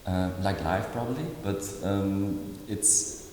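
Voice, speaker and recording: A man in his forties, originally from Indonesia, speaking in an interview as an artist, halting with many fillers and restarts. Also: deep voice, darkish tone. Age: 40s. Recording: echoey hall with faint noise.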